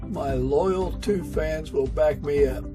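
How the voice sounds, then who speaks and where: A man speaks as a podcast host in a slightly high register. His delivery is that of a prepared introduction and friendly interview chat. Music plays clearly behind him. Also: slow pace.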